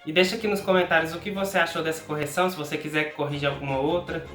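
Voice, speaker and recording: Brief: Brazilian man, nasal and congested from a cold, slightly high voice, patient math tutor reading out the arithmetic in an echoey room. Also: steady tone, a bit bright, far from the mic, soft background music. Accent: Brazilian Portuguese. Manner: patient, talks through arithmetic aloud slowly. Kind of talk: step-by-step exam problem walkthrough.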